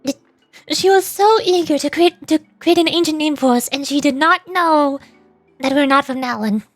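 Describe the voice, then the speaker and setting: A woman's voice: sits high, normal speed, no echo, soft background music. A woman streamer giving casual, playful, rambling commentary while she plays a video game.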